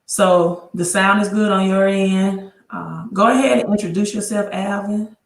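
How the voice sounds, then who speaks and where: A woman's voice: mid-range voice, fairly even intonation, measured pace, echoey room. A woman hosting a casual credit livestream from her laptop, chatty and often restarting her sentences. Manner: chatty, restarts often, talks while fixing tech. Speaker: credit educator and livestream host. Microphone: laptop mic.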